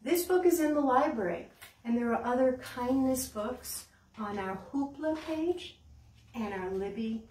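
Slow-paced American storytime woman, roomy sound. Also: sits high, lively intonation, a little mellow. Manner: simple, encouraging questions addressed to young children. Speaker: children's library storytime host. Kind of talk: children's storytime with puppets, songs and read-aloud. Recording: roomy, distant mic, background hiss.

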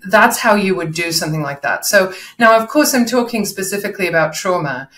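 A woman's voice: medium pitch, fairly bright tone. A middle-aged woman giving instructive public speaking advice as an interview guest, posing rhetorical questions and examples. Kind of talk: explanatory coaching advice in an interview. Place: indoor room, video call. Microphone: video call, no headset seen. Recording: echoey room over video call.

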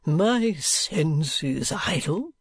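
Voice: mid-range voice, expressive, extremely slow, slightly rough, no room sound, clean studio sound, voice pushed up, exaggerated voice. Narrator doing a languid, drawling foppish husband. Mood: languid, affected. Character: foppish, idle, gushingly amorous husband, a dandy.

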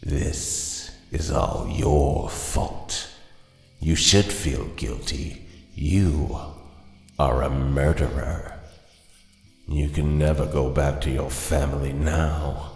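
A man voicing a sinister, knowing voice inside someone's head, slowly coaxing and accusing in a horror story. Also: extremely low pitch, fairly even intonation, darkish tone, roomy sound, big-room sound, faint music behind, full character voice. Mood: accusing, menacing. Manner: slow, insinuating.

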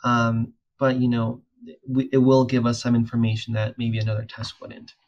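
Young man, flat voice, video call. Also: mid-range voice, normal speed, highs cut off. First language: Spanish. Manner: relaxed, many fillers and restarts. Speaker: audiologist and PhD student. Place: room at home.

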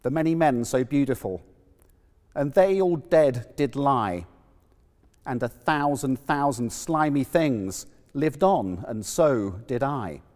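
A man giving a theatrical, measured poetry recitation with emphatic pauses. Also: medium pitch, expressive, a little mellow. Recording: long echoing hall reverb.

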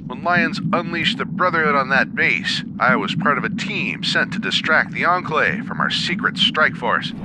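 A man's voice: a little high, expressive, moderate pace, hardly any pauses, very noisy. A man playing a wry veteran wasteland soldier, telling war stories conversationally as the storyteller of an audio drama. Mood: wry storytelling. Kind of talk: in-character storytelling over radio. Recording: battle sound effects behind the voice.

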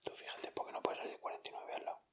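A man with an accent from Spain, speaking in a breathy, unvoiced whisper as he gives casual ASMR commentary. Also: rapid-fire, a bit bright. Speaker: ASMR video host. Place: indoors at a table.

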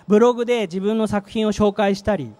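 A man's voice: high-pitched, fairly quick, a little mellow. A middle-aged man giving a live product presentation in short, emphatic phrases into a handheld microphone. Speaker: company manager presenting a product. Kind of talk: live trade-show presentation with slides. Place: presentation stage at an exhibition venue.

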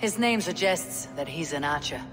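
A woman playing a stern, resolute Viking warrior in a game cutscene, speaking in a measured, grim, matter-of-fact way. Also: low voice, lively intonation. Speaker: video game lead character. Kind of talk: dramatic game cutscene acting. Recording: reverberant stone hall, music underneath.

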